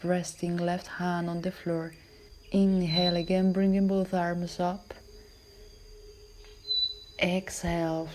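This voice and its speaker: A woman yoga instructor guiding a class in a calm, measured, soothing voice that is soft and at times breathy. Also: lowish voice, slight background noise. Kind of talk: guided yoga and relaxation instruction.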